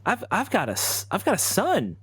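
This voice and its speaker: Male player as nervous, placating dad in improvised RPG dialogue, stammering, hugely varied pitch, dry close sound. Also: wildly swooping pitch, moderate pace, a bit bright, husky, no echo, exaggerated voice. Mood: anxious, protesting. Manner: stammering, flustered. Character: nervous, evasive family man, placating his ex.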